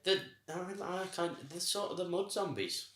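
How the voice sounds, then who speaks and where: A man co-hosting a casual review podcast, enthusiastic and quick to laugh. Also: high voice, a bit bright, a little hiss or hum. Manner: enthusiastic, laughs often, acts out reactions. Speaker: podcast co-host. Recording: echoey room.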